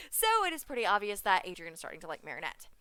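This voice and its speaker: Giggly, bright-voiced young woman fan vlogger. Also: lowish voice, big pitch swings, fast talker, clean tone, no echo. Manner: chatty, giggly, punning, jumps off track. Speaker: vlogger reviewing a cartoon episode. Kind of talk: excited fan reaction vlog.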